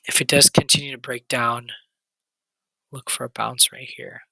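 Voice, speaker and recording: A man with a raspy, hoarse voice, going over stock charts in a quick, casual walkthrough with fillers and restarts. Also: mid-range voice, flat delivery, pushed and bright, dry recording, rough recording. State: hoarse, recovering voice. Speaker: stock trading channel host.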